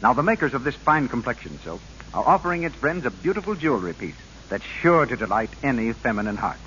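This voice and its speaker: A muffled old broadcast with faint hiss carries a male 1940s radio announcer. He reads a persuasive, polished sponsor script in a medium-pitched, very animated voice. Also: quick pace.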